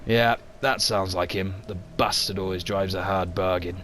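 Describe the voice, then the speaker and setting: Give me a blunt, weary expedition leader with a lowish voice, read by a man. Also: fairly even intonation, moderate pace, fairly bright tone, a little hiss or hum. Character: gruff middle-aged male expedition leader. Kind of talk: comic book voice acting.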